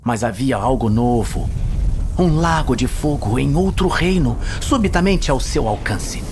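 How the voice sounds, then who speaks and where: A man's voice: mid-range voice, animated, measured pace, noisy background. A man with a Brazilian accent giving dramatic scripted narration of a fantasy tale. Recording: music behind the voice.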